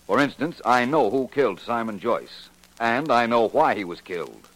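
An actor playing a courteous, confident private investigator in a 1940s radio drama, polite and assured. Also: male voice, mid-range voice, animated, moderate pace, fairly bright tone. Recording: faintly noisy old radio recording.

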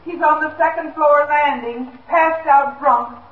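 A woman's voice: high voice, steady tone, a little slow, slight background noise. An actress playing a hesitant, uneasy woman tenant in a 1950s radio drama. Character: hesitant woman tenant reporting a drunk in hallway. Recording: echoey, tinny 1950s radio broadcast.

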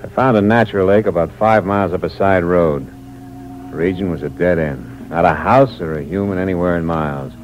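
A man's voice: deep voice, a little fast, close to an everyday voice. A man acting a cynical, hard-boiled American drifter who narrates his crime in terse, wry 1940s radio noir style. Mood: grim, cynical. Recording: muffled, noisy old radio recording.

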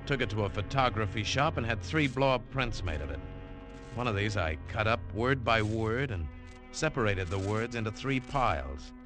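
A man's voice: fairly low pitch, lively intonation, moderate pace, lightly acted. An actor playing a tough male adventurer who narrates his own story in a wry, terse manner. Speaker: narrator and lead. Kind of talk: 1950s radio drama acting. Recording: old radio broadcast with music under it.

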